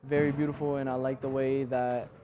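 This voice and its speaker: A man's voice: mid-range voice, level tone, fairly quick, dark tone. A young man from New Jersey giving a self-introduction as a college basketball player. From New Jersey, USA. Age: young adult.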